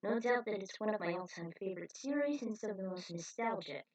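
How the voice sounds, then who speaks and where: A woman's voice: medium pitch, animated, brisk, low-quality recording. Woman reading out her own fanfic, just telling the story as narrator. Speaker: fan fiction author and narrator. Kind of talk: reading own fan fiction story aloud.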